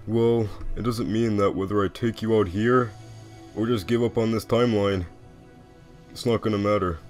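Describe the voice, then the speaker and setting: A man voicing a lazy, wry skeleton joker with quiet menace in a comic dub, talking in a laconic, folksy way. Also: fairly low pitch, fairly even intonation, moderate pace, soft background music, lightly acted. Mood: calm, resolute. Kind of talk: animated comic dub acting.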